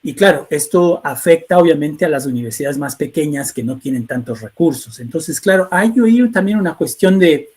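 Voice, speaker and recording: A middle-aged man from Ecuador giving a relaxed webinar lecture, often restarting phrases and tagging sentences with questions. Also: on the high side, moderate pace, few pauses. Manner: frequent fillers and restarts, rhetorical tag questions. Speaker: webinar speaker, researcher. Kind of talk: lecture-style talk.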